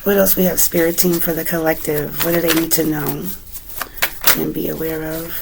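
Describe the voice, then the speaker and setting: A woman with a raspy, recovering voice giving a relaxed, chatty tarot reading at home, with many fillers. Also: low voice, normal speed, noisy background. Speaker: tarot reader. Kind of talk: casual tarot reading to camera.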